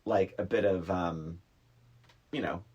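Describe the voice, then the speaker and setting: A middle-aged man co-hosting a podcast, chatting casually about a film in an animated, teasing way and acting out quotes. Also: low voice, slow pace, breathy edge, lo-fi sound. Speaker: podcast co-host.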